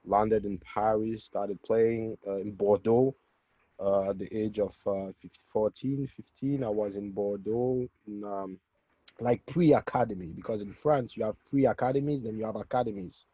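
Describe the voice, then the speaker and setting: A man from Cameroon telling his life story as an interview guest, in a halting, self-correcting way, on dull webinar audio. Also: lowish voice, steady tone, warm tone, dry recording. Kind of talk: autobiographical talk in a webinar interview.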